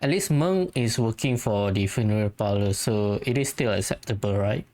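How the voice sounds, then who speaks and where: A woman's voice: a bass voice, expressive, normal speed, a little mellow. A woman giving laid-back, casual commentary in short reactions as she plays a game. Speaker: gaming let's-play commentator.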